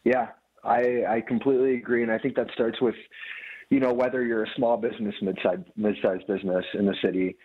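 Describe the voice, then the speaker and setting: Male guest, 30s, from Michigan, earnest and rambling, low voice, phone-line sound. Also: steady tone, fairly quick, slightly rough. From Dearborn, Michigan. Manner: earnest, rambling with many restarts. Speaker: guest, food business owner. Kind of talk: casual radio interview. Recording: tinny phone-line audio.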